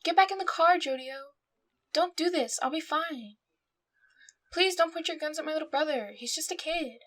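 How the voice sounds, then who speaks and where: A woman's voice: high voice, moderate pace, fairly bright tone. A young woman playing a defiant, protective eighteen-year-old big sister in a fan dub, with a sassy, exasperated manner. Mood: pleading, protective. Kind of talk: fan-dub dramatic acting.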